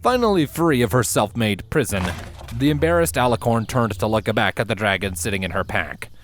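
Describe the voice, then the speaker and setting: A man reading a fiction story aloud as its narrator, in an expressive scripted style. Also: mid-range voice, brisk, a little hiss or hum.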